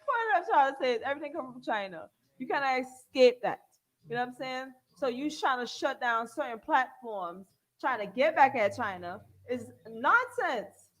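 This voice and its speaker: A woman's voice: sits high, animated, normal speed, a bit bright, low-quality recording. A woman, co-hosting talk radio in a joking, emphatic way. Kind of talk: casual talk radio banter.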